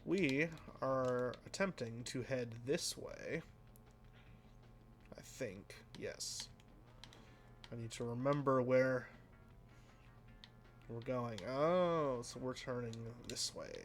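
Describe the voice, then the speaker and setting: A man casually commenting while he plays a racing game, rambling and self-deprecating, with a flat, slightly nasal voice that trails off into mumbles. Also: medium pitch, slow pace, long pauses. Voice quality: sounds flat and a bit nasal, by his own account. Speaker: let's play host.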